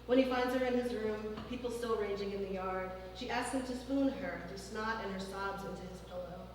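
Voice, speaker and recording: A woman's voice: mid-range voice, moderate pace. Woman reading fiction in an echoey hall. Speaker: author reading her fiction. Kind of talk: literary reading at a live event. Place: large former church hall. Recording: distant mic in a very echoey hall, faint noise.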